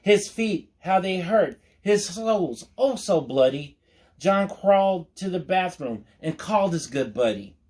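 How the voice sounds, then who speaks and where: Slow, playful man reading a story aloud. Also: fairly high pitch. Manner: playful, folksy, addresses listeners as y'all. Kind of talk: storytime reading with casual asides to listeners.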